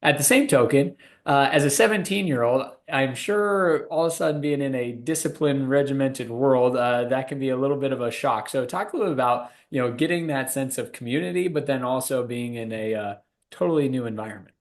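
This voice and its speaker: Mid-pitched man hosting a conversational interview. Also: a little fast, hardly any pauses. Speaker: podcast interviewer. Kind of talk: conversational interviewing, summing up then prompting.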